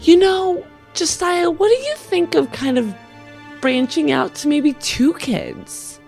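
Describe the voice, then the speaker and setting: A woman's voice: sits high, creaky, a little mellow, soft background music, pitched above the performer's own voice, heavily acted. A woman playing a sugary, eager wife hoping to adopt, coaxing and gushing, in a tabletop game. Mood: sweetly coaxing. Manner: gushing, coaxing, hesitant pauses.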